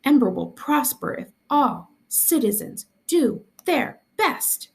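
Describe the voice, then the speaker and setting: A woman's voice: sits high, sing-song, slow, creaky, voice pushed up, full character voice. A woman reading a storybook aloud as a stern, pompous, easily affronted male mayor, formal and scolding. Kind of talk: storybook dialogue read aloud.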